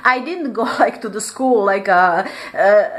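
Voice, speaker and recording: A woman's voice: medium pitch, a little slow, fairly bright tone. A woman speaking English as a second language in a rambling vlog monologue. She fills her talk with "like" and restarts often, with small grammar slips and laughs between phrases. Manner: rambling, heavy use of "like" fillers, frequent restarts. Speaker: vlogger.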